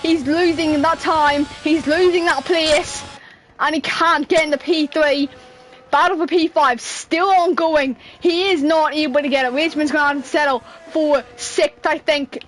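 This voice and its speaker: A woman giving excited sim racing commentary while losing her voice. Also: sits high, poor audio. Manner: excitable, laughs at herself. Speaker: race commentator.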